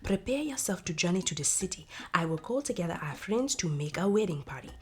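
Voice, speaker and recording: Woman reading as a dignified father, measured, rather low. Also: big pitch swings, a little hiss or hum. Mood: proud, firm. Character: dignified proud village father, fond and fair. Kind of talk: storybook character voice.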